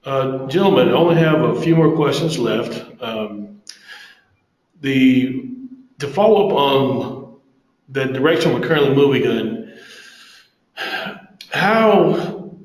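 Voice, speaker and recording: A man's voice: medium pitch, lively intonation, slow, long pauses. A man moderating a group interview over a conference call, courteous and affirming, with a roomy echo on his voice.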